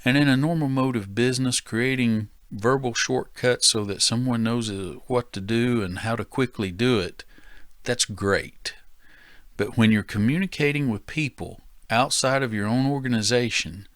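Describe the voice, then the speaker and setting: A man's voice: mid-range voice, normal speed, no echo, studio quality. An American man giving an instructional safety training talk as an instructor, emphatic and repeating key phrases for stress.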